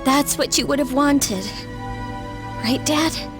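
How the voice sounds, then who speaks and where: A woman's voice: high voice, slow, music in the background. An actress playing a determined teenage girl resolving to honor her late father, in a game cutscene. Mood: resolute. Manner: earnest, resolute.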